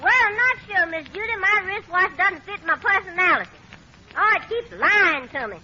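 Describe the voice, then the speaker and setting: An actress playing a good-natured, loyal and admiring housemaid with a giggly manner in 1940s radio comedy. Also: female voice, extremely high pitch, lively intonation, moderate pace, pushed and bright, exaggerated voice. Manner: giggly, admiring, uses big words. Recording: dull old radio recording.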